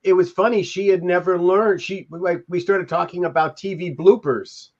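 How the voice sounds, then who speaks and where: A man's voice: high-pitched, steady tone, moderate pace. An older man chatting loosely and ramblingly as a podcast co-host over a video call. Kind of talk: casual chat. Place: room at home, video call. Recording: dull lo-fi video-call audio.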